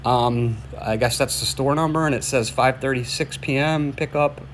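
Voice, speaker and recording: Male caller making a complaint by phone, mid-pitched, halting and repetitive, insistent, with light noise on the recording. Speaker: caller reporting a suspicious order to police. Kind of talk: phone conversation, explaining a complaint. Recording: noisy phone call recording.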